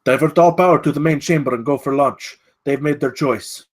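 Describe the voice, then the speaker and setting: A man's voice: on the high side, brisk, natural voice. Man reading a terse, commanding villain boss. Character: commanding, sardonic villain boss of a secret facility.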